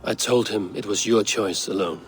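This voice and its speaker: A man's voice: fairly low pitch, moderate pace, a little hiss or hum, natural voice. An actor voicing a grave, authoritative king of the gods in a dramatic video game. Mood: grave, troubled. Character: stern, commanding male king of the gods.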